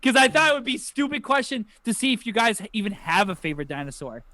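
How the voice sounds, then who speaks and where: Thirties podcast co-host, high pitched and jokey, recorded close and dry. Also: male voice, very animated, quick pace, pushed and bright, dry recording. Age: 30s. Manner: joking, slangy, rambling. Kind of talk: casual chat.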